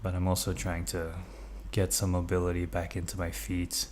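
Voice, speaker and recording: A young English man who grew up in Norway, vlogging in a relaxed, hesitant way with many ums and uhs while he stretches at home. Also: deep voice, normal speed, a little hiss or hum. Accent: English with Norwegian upbringing. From England. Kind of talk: casual vlog narration.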